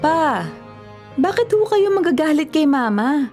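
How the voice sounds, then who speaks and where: A woman's voice: sits high, sing-song, measured pace, music in the background. A woman playing an indignant adult daughter in a Filipino radio drama, scolding and pleading insistently.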